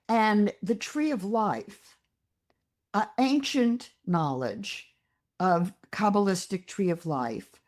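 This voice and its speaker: A woman psychologist talking as a guest, explaining ideas in a measured, teaching manner. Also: medium pitch.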